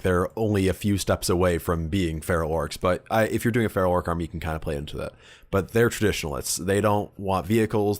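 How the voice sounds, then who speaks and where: A man co-hosting a hobby podcast, chatting informally in a blunt, sweary way. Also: low-pitched. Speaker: podcast co-host. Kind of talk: casual hobby podcast chat.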